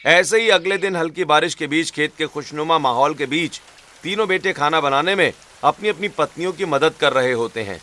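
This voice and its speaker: A man's voice: on the high side, normal speed, bright, forceful tone. A man reading scripted narration for a cartoon story.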